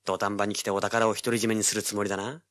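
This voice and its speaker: An actor playing a cocky, tough young treasure hunter, rough-spoken and sardonic, narrating and speaking in a hard-boiled audio drama. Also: male voice, lowish voice, flat delivery, quick pace, fairly bright tone, close to an everyday voice. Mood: irritated. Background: dramatic music.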